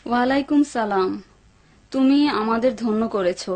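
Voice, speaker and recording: A woman's voice: a little high, moderate pace, darkish tone, dry recording, natural voice. A woman playing a nosy, greedy, nagging wife in a dubbed cartoon, by turns placating and needling. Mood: polite.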